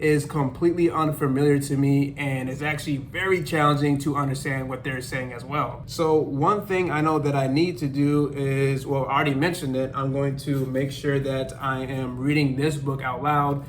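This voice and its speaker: A man in his thirties talking casually to camera in a small apartment room, upbeat and animated, laughing often. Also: mid-range voice, moderate pace, hardly any pauses, a little hiss or hum. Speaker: language-learning YouTuber.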